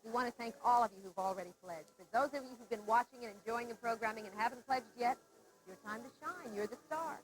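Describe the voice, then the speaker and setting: A woman's voice: a little high, quick pace, poor audio. Woman making an earnest pledge appeal. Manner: earnest, persuasive, reads out phone numbers. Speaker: pledge drive presenter. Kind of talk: fundraising appeal.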